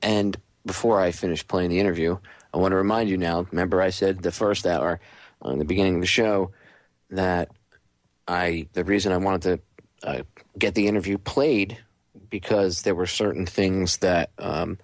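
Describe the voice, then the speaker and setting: A man with a deep voice hosts a radio show. He talks casually and conversationally, straight to his listeners. The sound is dry and close, with no room around it. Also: fairly even intonation, moderate pace, dry recording.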